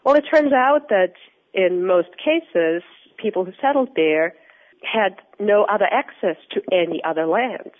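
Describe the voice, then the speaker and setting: A woman's voice: medium pitch, animated. Woman, Austrian accent, researcher guest, earnest, phone-line sound. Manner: earnest, explanatory, measured. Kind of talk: interview answers. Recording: tinny phone-line audio.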